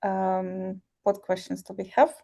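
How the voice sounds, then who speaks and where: A woman chats easily on a video call, giggling now and then. Her tone is mellow and soft-edged, middle in pitch. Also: completely flat delivery, unhurried, gravelly. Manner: giggly, easygoing. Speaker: business operations worker, club student. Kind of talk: casual chat.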